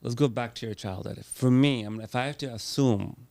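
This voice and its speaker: Host: man, lowish gravelly voice, dry close mic, teasing conversational prompts. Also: fairly quick, darkish tone, dry recording. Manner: playful, leading questions. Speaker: interview host. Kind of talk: casual interview chat.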